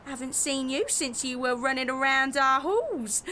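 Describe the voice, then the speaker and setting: A woman's voice: sits high, expressive, a little slow, pushed and bright, slight background noise, full character voice. A woman playing a nosy older charity collector in an audio drama, with a familiar, singsong way of calling out. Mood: prying, familiar. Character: nosy older female foundling hospital charity beggar.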